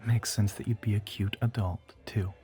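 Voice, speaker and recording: A man's voice: on the low side, steady tone, normal speed, over music, lightly acted. A man playing an ancient, teasing vampire who poses as a human boyfriend in an audio roleplay, drawling and often slipping toward a whisper. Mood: charming, polite.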